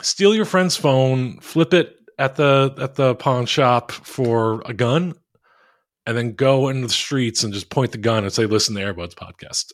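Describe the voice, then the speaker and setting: A man co-hosting a podcast over a call, rambling and self-mocking in a flat monotone with crude jokes. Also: mid-range voice, measured pace, no room sound, clean studio sound. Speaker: podcast co-host. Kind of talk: casual podcast chat.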